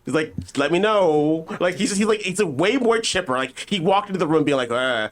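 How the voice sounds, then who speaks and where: A man's voice: fairly high pitch, lively intonation, a little fast. A man co-hosting a podcast, telling a casual, profane, rambling story and often acting out other people's lines in mock dialogue. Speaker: podcast co-host.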